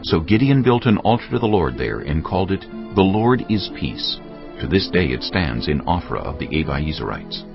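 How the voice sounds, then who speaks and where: A man's voice: low-pitched, sing-song, normal speed, gravelly. A man narrating a dramatized reading of scripture as a scripted storyteller. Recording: muffled audio with faint music.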